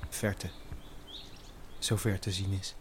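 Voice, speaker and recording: A man narrating an audio drama in scripted, suspenseful short phrases with long pauses. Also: sits low, little pitch movement, background noise. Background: music.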